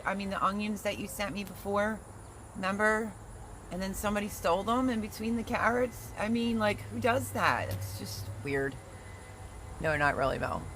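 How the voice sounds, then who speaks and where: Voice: medium pitch, bright, forceful tone, slight background noise, poor audio. A gardening livestream host chatting casually and teasing viewers while reading their comments aloud.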